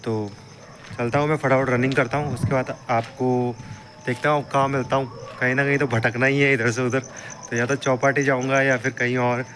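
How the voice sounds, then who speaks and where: A young man with a hoarse voice and a blocked nose, giving an upbeat pep talk to viewers in a casual fitness vlog. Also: medium pitch, little pitch movement, moderate pace, fairly bright tone. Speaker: fitness vlogger. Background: street traffic and wind.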